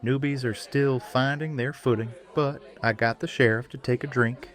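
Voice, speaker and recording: A man's voice: mid-range voice, steady tone, moderate pace, faint music behind, natural voice. A man playing an affable, tentative neighbourhood host in an audio drama. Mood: mildly hopeful.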